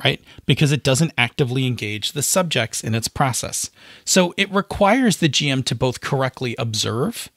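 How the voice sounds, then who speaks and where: A man's voice: medium pitch, lively intonation, normal speed, fairly bright tone, dry recording. A man co-hosting a podcast, explaining things methodically and spelling out definitions into a close microphone. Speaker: podcast co-host.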